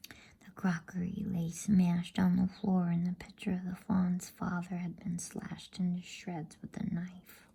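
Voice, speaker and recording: A woman reading a bedtime story in a hushed, breathy ASMR whisper with a soothing delivery. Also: lowish voice, monotone, measured pace.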